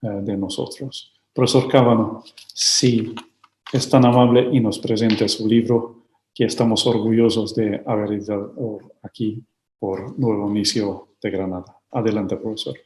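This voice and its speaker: A man hosting a book launch and formally introducing the speakers, with a hesitant delivery full of pauses and filler sounds, over a video call. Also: fairly low pitch, little pitch movement, a little mellow, slight background noise. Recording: roomy echo.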